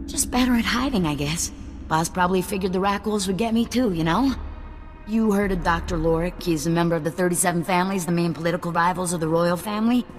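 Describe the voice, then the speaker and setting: A streetwise female shuttle pilot, played by an actress in a video game, nervy and self-protective. Her voice is on the low side and rings in a long reverb, with music underneath. She talks casually and stumbles over words as she bargains. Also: fast talker, close to an everyday voice. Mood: wry, grim. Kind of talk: video game cutscene dialogue.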